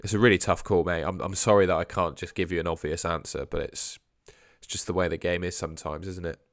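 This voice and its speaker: Young male streamer, a bit hoarse from a sore throat, low voice, hedges a lot and drops off quietly at line ends. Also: fairly even intonation, fast talker, dry recording, clean studio sound. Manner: hedging, trails off quietly at phrase ends. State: sore throat, losing his voice. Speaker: fantasy football livestream host. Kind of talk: casual live stream chat answering viewers.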